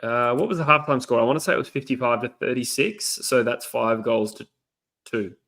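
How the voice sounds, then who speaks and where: A man in his thirties co-hosting a football watchalong in casual chat, relaxed and quick to laugh. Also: mid-range voice, vocal fry. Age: 30s. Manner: relaxed, laughs easily, thinks aloud. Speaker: co-host of a live football watchalong.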